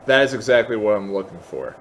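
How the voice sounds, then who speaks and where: A man hosting a software tutorial, explaining step by step in a methodical way. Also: lowish voice, very animated, fast talker, slight background noise. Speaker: tutorial host.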